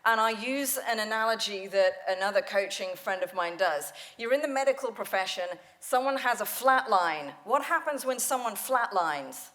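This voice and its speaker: A woman speaking coach leading a conference panel, energetic and storytelling, laughing often. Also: mid-range voice, normal speed, few pauses. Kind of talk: lively panel talk. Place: conference hall stage.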